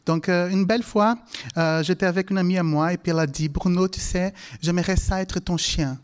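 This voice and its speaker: A man from Brazil, a native Portuguese speaker, giving a public talk with personal anecdotes in a halting way, often correcting himself. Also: sits high, fairly even intonation, smooth. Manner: halting, hesitant phrasing with frequent self-corrections. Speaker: language lecturer and conference speaker.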